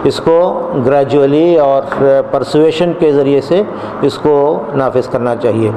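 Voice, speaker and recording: A man giving a public statement in a measured way with formal wording. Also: mid-range voice. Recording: echoey, faint background noise.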